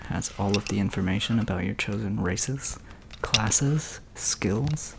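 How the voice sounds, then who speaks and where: A man's voice: on the low side, unhurried, gravelly. A man voicing a laid-back teenage metalhead game master in a whispered ASMR roleplay, over a noisy hiss.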